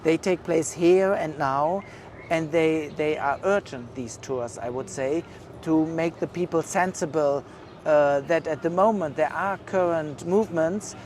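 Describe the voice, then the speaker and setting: A man with a German accent speaks in a slightly raised voice, pausing often and reaching for words as he thinks aloud. He is recorded outdoors, with faint traffic and city noise behind him. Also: on the high side, darkish tone. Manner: thoughtful, hesitant, many fillers. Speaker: curator being interviewed. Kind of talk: casual interview. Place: outdoors at an art exhibition.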